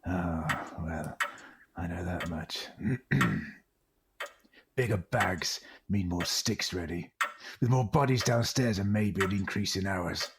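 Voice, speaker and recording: An actor playing a businesslike, calculating Victorian factory boss in an audio drama. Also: male voice, low-pitched, slow, breathy edge, exaggerated voice. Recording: noisy recording with clicks.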